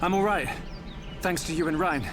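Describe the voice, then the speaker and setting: A man's voice: fairly high pitch, normal speed, background noise, natural voice. An actor voicing an earnest, thoughtful young male researcher in a video game cutscene, explaining things with curiosity. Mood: concerned. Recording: music behind the voice. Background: music.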